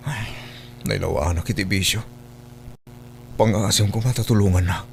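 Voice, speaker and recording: Actor as low-voiced, regretful Ilocano man. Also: moderate pace, gravelly, a little hiss or hum. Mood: regretful, pleading. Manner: pleading. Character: broke, regretful man begging for work, humbled. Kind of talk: Ilocano radio drama acting.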